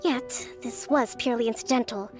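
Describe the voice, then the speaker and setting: A high, youthful female commander, played by an actress, speaks with measured formality. She is gentle yet firm, a game strategist who carries quiet authority. Music plays behind her voice. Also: lightly acted. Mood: calm, firm. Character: composed young female military leader and strategist, gentle but firm. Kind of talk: video game voice acting.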